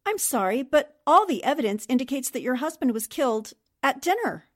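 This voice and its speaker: A woman's voice: high-pitched, big pitch swings, brisk. An actress playing a wry, skeptical newspaperwoman who questions sharply, in 1940s radio style. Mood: skeptical, probing. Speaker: actor in a radio drama.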